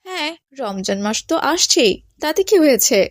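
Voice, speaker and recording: A woman's voice: sits high, very animated, normal speed, no echo, clean studio sound. A woman voicing a poor, humble widowed mother in a cartoon, tender and earnest with her children. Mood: concerned. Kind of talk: Islamic cartoon dialogue.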